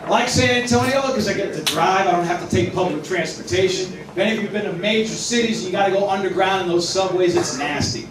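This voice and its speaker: A man's voice: sits high, fast talker, hardly any pauses, crowd noise. A man from Boston with a Boston accent doing a wry, punchy stand-up set in a restaurant. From Boston, Massachusetts. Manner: wry, self-deprecating, punchy delivery with loud mock announcer shouts. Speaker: stand-up comedian. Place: Mexican restaurant, indoors. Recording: echoey room, distant mic, audience noise.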